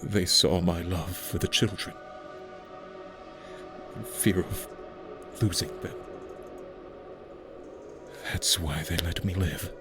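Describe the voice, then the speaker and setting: An actor playing a grieving uncle who once kept an orphanage, speaking slowly in a hushed, halting way. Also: male voice, deep voice, fairly even intonation. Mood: grave. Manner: slow, hushed and halting, rising to anguish. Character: grieving older male orphanage keeper, haunted. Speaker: uncle, former orphanage caretaker. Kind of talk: fan audio drama acting. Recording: music score behind.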